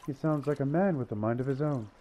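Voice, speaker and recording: An actor playing a courteous, measured doctor who mentors and plans in an audio drama. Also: male voice, medium pitch, warm tone, a little hiss or hum, lightly acted. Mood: thoughtful. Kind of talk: radio-play acting.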